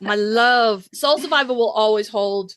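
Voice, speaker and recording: A woman co-hosting a podcast, chatting casually as a fan in an animated, emphatic, joking way with plenty of swearing. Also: fairly high pitch, measured pace, smooth, dry recording, studio quality. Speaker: podcast co-host. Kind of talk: casual fan chat about a TV series.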